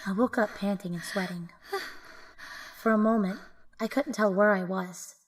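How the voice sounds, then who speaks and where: A woman's voice: mid-range voice, fairly even intonation, moderate pace, close to an everyday voice, low-quality recording. A woman giving scripted first-person narration for an audio drama.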